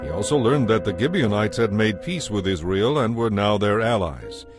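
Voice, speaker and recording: A man narrating scripture from a script in a measured, solemn, dramatic way. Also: on the low side, lively intonation. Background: music.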